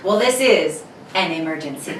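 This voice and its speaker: A woman's voice: fairly low pitch, lively intonation, normal speed, recorded from a distance, lightly acted. A woman playing a brusque, impatient male police officer with slangy diction in an old-time radio play. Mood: gruff. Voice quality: slangy tough-cop diction. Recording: echoey room, off-mic, faint noise.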